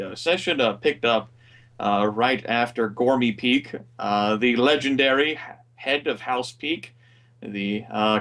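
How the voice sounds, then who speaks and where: A man with a booming voice recapping a tabletop game session over a voice call, rambling with many fillers. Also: fairly bright tone, recorded from a distance. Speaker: game master.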